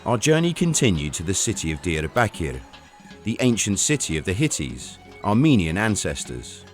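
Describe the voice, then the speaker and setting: Deep-voiced man narrating a documentary. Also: very animated, moderate pace. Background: faint background music.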